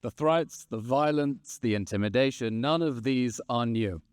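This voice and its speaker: A man's voice: mid-range voice, a little slow, smooth, no room sound, studio quality. A man in his thirties moderating a conference panel on stage, with rousing, repetitive rhetorical phrasing. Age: 30s.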